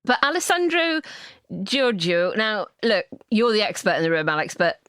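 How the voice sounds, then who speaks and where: A woman's voice: medium pitch, sing-song, moderate pace, a bit bright, clean tone, no echo, studio quality. A woman hosting a podcast in an emphatic, conversational way. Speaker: podcast host. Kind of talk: casual chat and appeals to listeners.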